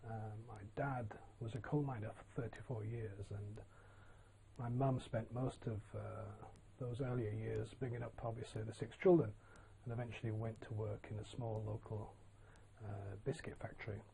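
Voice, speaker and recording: An older man from Yorkshire telling his own career story in a relaxed, plain-spoken way, with frequent ums and uhs. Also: on the low side, normal speed, vocal fry, a little hiss or hum, rough recording. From Yorkshire, England. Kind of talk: casual autobiographical monologue.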